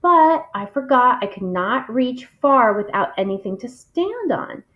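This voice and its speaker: A woman's voice: on the high side, big pitch swings, a little slow, lo-fi sound. A woman reading aloud expressively, in the style of a literary read-along.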